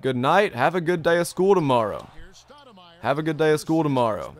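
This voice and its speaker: A man's voice: a little high, very animated, fairly quick. Chatty young man streaming a game. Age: young adult. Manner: upbeat, chatty, repeats his own phrases. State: slightly strained voice after long streaming. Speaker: gaming streamer. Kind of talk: live stream commentary and chat reading. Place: bedroom gaming setup, indoors.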